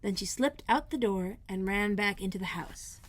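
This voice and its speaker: A woman's voice: medium pitch, normal speed. Woman reading aloud a children's storybook. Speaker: elementary school librarian, host.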